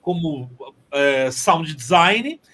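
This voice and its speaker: A man's voice: fairly high pitch, lively intonation, extremely slow, a bit bright. A man chatting casually as an interview guest, joking and ironic, rambling from one phrase to the next. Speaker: podcast guest, theatre sound designer and composer.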